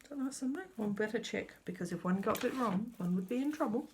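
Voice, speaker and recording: A middle-aged woman chats her way through a stitching update, rambling and muttering to herself as she checks details. Her voice sits low with a gravelly edge, yet her pitch moves around a lot. A faint hiss sits under the recording. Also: lively intonation, fast talker. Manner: chatty, rambling, talks to herself while checking. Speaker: cross-stitch vlogger. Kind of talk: casual show-and-tell stitching update. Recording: faint background noise.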